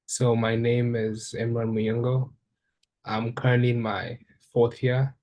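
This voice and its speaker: A young man from Uganda, a fourth-year undergraduate, thinking aloud in a relaxed interview with many ums and restarts. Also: medium pitch, unhurried. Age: young adult. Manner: thoughtful, with frequent ums and restarts. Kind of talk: reflective casual conversation.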